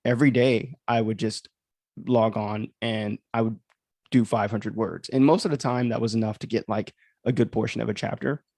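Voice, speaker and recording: A man's voice: on the low side, steady tone, moderate pace, vocal fry, a little mellow, no room sound. A man giving casual livestream commentary on his own writing as its author, rambling and musing aloud in a self-deprecating way.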